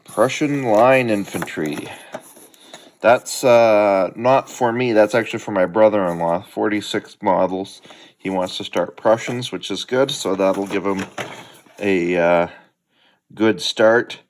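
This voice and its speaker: A man's voice: fairly low pitch, slow, a little hiss or hum. A middle-aged man hosting a hobby channel, chatting casually and unhurriedly as he unboxes a delivery at home.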